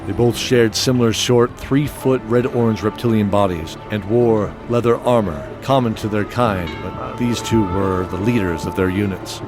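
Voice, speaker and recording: A man's voice: lowish voice, normal speed, few pauses. A man reading scripted narration as the narrator of an audio fiction show. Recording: clear music bed behind voice.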